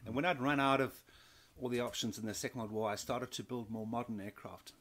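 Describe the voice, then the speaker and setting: A man's voice: mid-range voice, steady tone, a little fast, a bit bright. A man in his fifties from South Africa, telling his story as an interview guest, with many restarts and repeated words. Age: 50s. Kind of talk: conversational interview.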